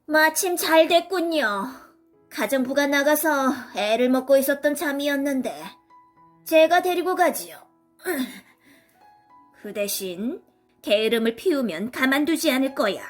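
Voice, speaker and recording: A woman's voice: high-pitched, expressive, a little slow, soft background music. A woman voicing a mean, spiteful housewife in a children's storybook, curt and menacing. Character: mean, spiteful, threatening housewife seeking a servant. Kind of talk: children's storybook character acting.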